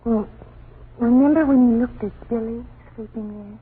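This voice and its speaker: An actress playing an anxious, gentle young wife and mother in an old-time radio drama, speaking in a hesitant, worried way. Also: a little high, measured pace, lightly acted. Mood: pensive. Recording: tinny, noisy old radio recording.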